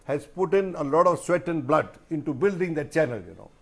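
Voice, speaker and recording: A man's voice: fairly high pitch, a little fast. A man with an Indian accent, a journalist speaking emphatically and rhetorically as a guest in a panel discussion, repeating phrases for weight.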